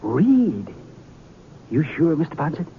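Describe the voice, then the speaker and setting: A man acting an excitable, folksy American small-town fellow in a 1950s radio western, stammering a little. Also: slow, gravelly, a little hiss or hum, exaggerated voice. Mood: worried, eager. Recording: muffled, rumbly 1950s radio recording.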